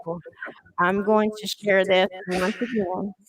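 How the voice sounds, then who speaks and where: A woman's voice: medium pitch, little pitch movement, takes it slowly, a crowd in the background, rough recording. A woman hosting a casual live-stream interview with a band, chatty and gushing, laughing often and trailing off mid-sentence. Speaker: podcast host interviewing a band.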